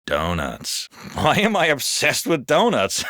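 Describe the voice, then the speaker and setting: A man with an American accent playing a wisecracking, foul-mouthed city cop in video game banter, colloquial and expressive. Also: mid-range voice, slow pace, no room sound, clean studio sound, heavily acted. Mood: gleeful. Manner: expressive, colloquial, comically exaggerated.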